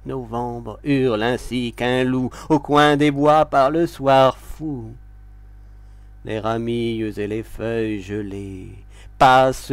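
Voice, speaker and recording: A man recites verse at a very slow, deliberate pace. His voice is clean and resonant, recorded close and dry. The delivery is solemn and expressive, like a literary poetry reading. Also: medium pitch, dry recording. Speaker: poetry reader.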